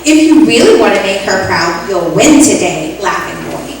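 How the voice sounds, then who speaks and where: A woman's voice: medium pitch, very animated, normal speed. A woman playing a sweet, naive, scatterbrained young secretary in an old-time radio comedy, with an earnest delivery. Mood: cheerful, earnest. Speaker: radio play actor. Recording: very echoey hall, distant, heavy noise.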